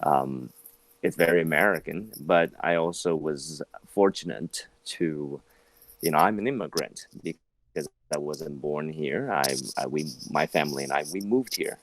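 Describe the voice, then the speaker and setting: A man in his thirties, an actor, chatting as an interview guest, rambling with lots of restarts and sudden jumps in pitch. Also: measured pace, poor audio. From southern China. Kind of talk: casual interview talk.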